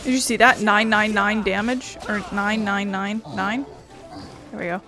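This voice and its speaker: A woman's voice: mid-range voice, little pitch movement, a little slow, over music. A woman doing casual, playful, rambling commentary as she plays a video game. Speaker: gaming video host.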